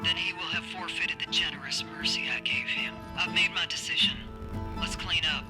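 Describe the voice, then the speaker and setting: A woman's voice: fairly low pitch, fairly even intonation, normal speed, loud music behind the voice, close to an everyday voice. A woman playing a stern, decisive captain in a video game, commanding and curt. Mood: resolute. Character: stern, decisive female captain, mech-piloting protector.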